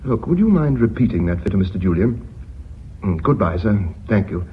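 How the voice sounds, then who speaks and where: An actor playing a measured, polite British company secretary in a radio drama, speaking formally and methodically. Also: male voice, on the low side, sing-song. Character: measured, deferential company secretary and bookkeeper revealing his scheme. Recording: muffled, noisy old radio recording.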